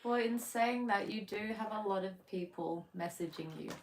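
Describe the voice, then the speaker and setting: A woman's voice: medium pitch, moderate pace, crowd noise. A woman co-hosting a livestream, chatting casually, asking questions and laughing often. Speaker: carer and co-host. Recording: noisy livestream audio.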